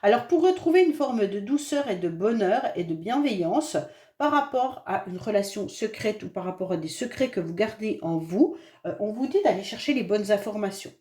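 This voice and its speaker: A woman's voice: medium pitch, animated, moderate pace, mellow, reverberant. Woman with a cold reading tarot cards. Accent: French. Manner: chatty, reassuring, many fillers and hesitations. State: has a head cold. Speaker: tarot card reader. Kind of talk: monthly astrology card reading.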